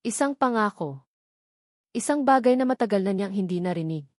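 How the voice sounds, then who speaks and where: A woman reading a romance story aloud in a steady, emotive, unhurried narration style. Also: medium pitch, dry recording, studio quality. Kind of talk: dramatic scripted story narration.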